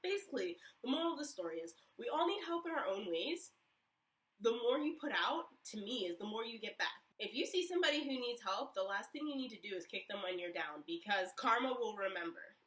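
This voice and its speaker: Young woman, vlog storytime, rambling filler-heavy chat, bright voice, slightly distant mic. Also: fairly high pitch, expressive, fairly quick. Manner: chatty, rambling, many fillers. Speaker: vlogger.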